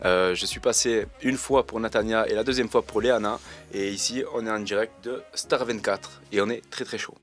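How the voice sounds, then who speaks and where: A man, answering interview questions in a relaxed, slangy way and pausing often with fillers. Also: on the low side, faint music behind. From Marseille, France. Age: 20s. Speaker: reality TV contestant interviewed.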